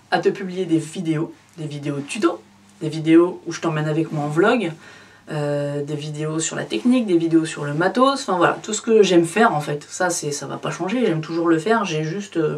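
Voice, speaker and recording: A woman in her thirties talks straight to her viewers in a relaxed, chatty way, leaning on fillers as she goes. Her voice sits a little low for a woman. The mic is set back from her, so she sounds slightly distant. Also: fairly quick. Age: 30s. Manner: chatty, addresses the viewer informally with many fillers. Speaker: photography YouTuber. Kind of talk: casual direct-to-camera vlog.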